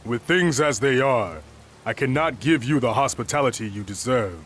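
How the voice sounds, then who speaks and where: An actor playing a noble, loyal warlord in a video game cutscene, speaking with solemn formality. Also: male voice, medium pitch, animated, moderate pace, a little hiss or hum, natural voice. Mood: apologetic. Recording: game audio with loud music.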